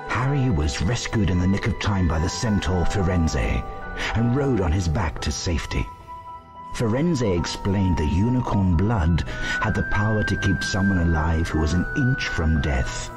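A man's voice: low-pitched, expressive, normal speed. A man acting as a solemn storybook narrator, telling a scripted, dramatic tale in a game. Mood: solemn, ominous. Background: music.

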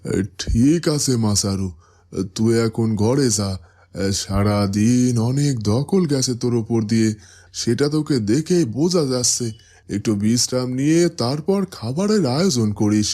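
A man's voice: mid-range voice, animated, a little slow, mellow. A man playing a protective older father of grown daughters in a fairytale cartoon. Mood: concerned. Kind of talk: Bengali fairytale cartoon dubbing.

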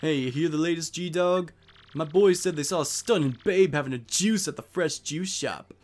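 A man's voice: on the high side, normal speed, darkish tone, natural voice. A man voicing a cool, swaggering video game fighter with a slangy, laid-back way of talking. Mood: cocky. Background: game music.

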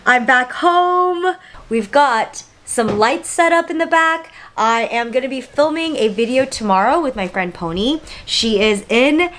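A woman's voice: high-pitched, animated, a little slow, a bit bright, faint music behind. A woman in her twenties talking casually to camera in a home vlog, upbeat and chatty with lots of filler words. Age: 20s. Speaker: vlogger. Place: small home studio room indoors.

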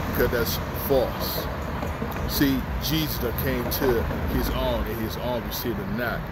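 A man in his fifties vlogging from a downtown street with a phone at arm's length, preaching urgent end-times warnings and often asking "understand?". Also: medium pitch. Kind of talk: walking selfie vlog, preaching. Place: outdoors on a downtown city street. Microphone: phone held at arm's length. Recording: loud street noise behind phone audio. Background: traffic and city ambience.

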